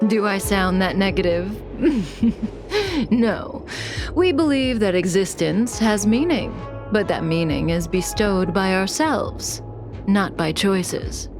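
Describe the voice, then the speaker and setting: An actress playing a calm, teasing, manipulative woman in a video game, measured and coolly playful. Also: mid-range voice. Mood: gently amused. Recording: music behind the voice. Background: game soundtrack music.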